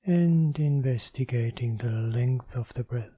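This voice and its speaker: Male meditation guide, deeply mellow and relaxed tone, deliberate spaced phrasing, dry recording. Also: slightly rough, dry recording. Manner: measured, deliberate phrasing with pauses. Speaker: meditation teacher.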